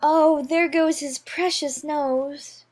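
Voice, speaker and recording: A woman's voice: high-pitched, fairly even intonation, takes it slowly, darkish tone. A woman playing a polite, earnest, curious young girl in an audiobook drama, prim and well-spoken. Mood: alarmed. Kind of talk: full-cast audiobook character acting.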